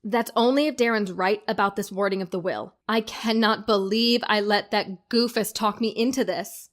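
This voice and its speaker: Actress for stressed young bride; mid-range voice, wry banter, bossy affection, audio drama. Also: female voice, medium pitch, steady tone, normal speed, natural voice.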